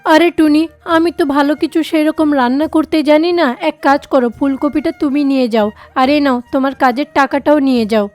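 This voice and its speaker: A fussy cartoon kite who owns the farm, played by a woman. The voice is pitched high, fretful and demanding yet kindly, over a music bed. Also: fairly even intonation, fairly quick, a little mellow, natural voice. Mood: pleased. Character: fretful kite bird farm owner, demanding but generous. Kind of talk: children's cartoon voice acting.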